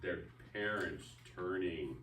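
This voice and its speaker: A man's voice: sits low, slow pace. A man teaching a reading lesson, prompting readers with encouragement and reading aloud expressively. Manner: encouraging, prompting, animated when reading out parts. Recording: roomy, echoey, picked up from a distance.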